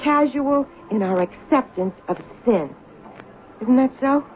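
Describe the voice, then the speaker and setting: A muffled 1970s radio play with faint noise. a fixated soap-opera fan, high-voiced and righteous. She speaks with fervent, moralizing conviction that borders on frantic. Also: lively intonation, measured pace. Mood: righteous, preachy. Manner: earnest, solemn moralizing that rises into frantic protest. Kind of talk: 1970s radio drama acting. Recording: muffled, noisy old radio broadcast.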